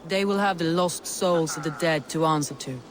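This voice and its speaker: A woman's voice: low-pitched, moderate pace, slight background noise, close to an everyday voice. An actress playing a stern, grieving Viking woman leader with a solemn, commanding and formal delivery in a video game. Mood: resolute. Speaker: clan leader. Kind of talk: dramatic video game acting.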